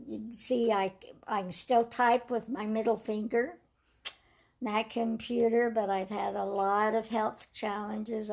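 An older woman with a raspy voice, telling her life story slowly and reflectively in an interview. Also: medium pitch. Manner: slow, measured storytelling with soft trailing phrases.

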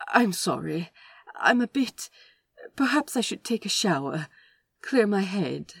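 A woman's voice: mid-range voice, sing-song, unhurried, vocal fry, fairly bright tone, breathy edge, no echo, clean studio sound, voice pushed down, heavily acted. A woman voicing a fussy, polite, anxious man in an audio fiction reading, with a prim and fretful manner. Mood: anxious, apologetic.

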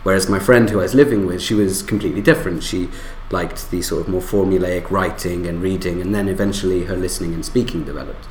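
Guest, male, very low voice, measured and thoughtful, echoey room. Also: fairly even intonation, a little hiss or hum. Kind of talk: casual panel discussion.

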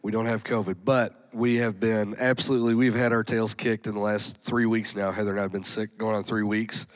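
A man's voice: lowish voice, level tone, fairly quick, fairly bright tone. A man preaching a sermon to his congregation, sounding nasal and congested from a cold, punctuating lines with frequent amens and folksy asides. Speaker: pastor. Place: church sanctuary with congregation.

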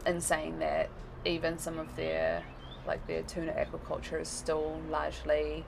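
A woman with a New Zealand accent, chatting casually as an interview guest, rambling with lots of fillers and quick laughs. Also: low voice, monotone, creaky, background noise.

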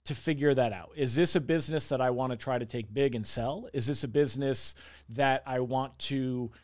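A man's voice: mid-range voice, normal speed, a little mellow. A man in his forties speaking candidly as an interview guest, talkative and self-reflective, often repeating words as he thinks. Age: 40s. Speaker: interview guest, small business owner.

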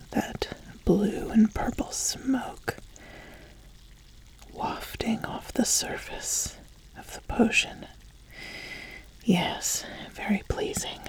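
A woman voicing an ambitious, appraising witch in a breathy whisper for a fantasy ASMR roleplay, deliberate and given to repeating phrases. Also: fairly high pitch, exaggerated voice, poor audio. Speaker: ASMR host.

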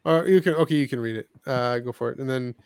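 A man hosting a podcast in casual conversation, talking in a halting, rambling way and often repeating his words. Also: mid-range voice, darkish tone, clear, resonant tone, no room sound. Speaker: podcast host. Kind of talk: casual chat, discussing books and comics.

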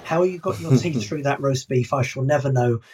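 A man's voice: mid-range voice, normal speed, a little mellow, breathy edge. A man co-hosting a podcast in casual discussion, reading out excerpts from an old radio script. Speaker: podcast co-host.

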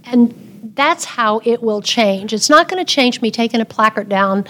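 A woman's voice: a little high, animated, normal speed, sharp tone. Earnest older woman, emphatic. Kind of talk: casual panel talk.

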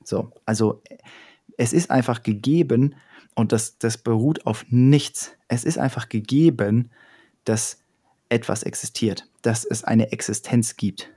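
A man's voice: medium pitch, measured pace, dark tone, breathy edge. A young man in casual chat, explaining ideas step by step with frequent fillers and restarts.

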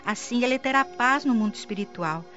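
Casting: devout, consoling woman, played by an actress, fairly high voice, composed, radio play. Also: lively intonation, brisk, a bit bright, faint music behind. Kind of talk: radio drama acting. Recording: dull lo-fi audio.

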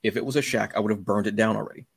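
Lowish-voiced man hosting, close dry sound. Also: fairly even intonation, racing, creaky, slightly rough, no room sound. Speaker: podcast host. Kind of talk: casual interview chat.

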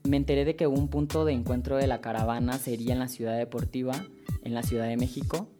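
A man's voice: medium pitch, fairly even intonation, fast. Young man over music. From Honduras. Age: 20s. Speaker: featured migrant telling his story. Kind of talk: read personal testimony. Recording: music bed under the voice.

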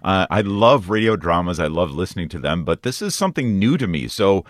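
A man's voice: low-pitched, big pitch swings, brisk, no room sound, studio quality. A man hosting a radio show, interviewing in a casual, interested and conversational way.

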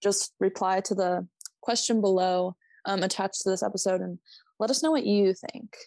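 A woman with a light Minnesotan accent chats loosely on her podcast, circling her point with lots of "like" and quick laughs. Her voice sits at a middle pitch and is recorded dry and close, with no room sound. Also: darkish tone, dry recording. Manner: rambling, self-interrupting, laughing, swearing. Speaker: podcast co-host. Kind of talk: casual chat.

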